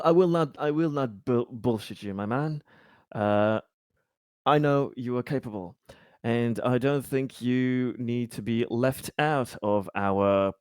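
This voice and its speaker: A man playing a polite colony official in a tabletop game, speaking in a halting, formal and ingratiating way. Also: mid-range voice, a little mellow, clear, resonant tone, no echo, studio quality. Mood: earnest, bargaining. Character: polite, diplomatic colony official, eager to please.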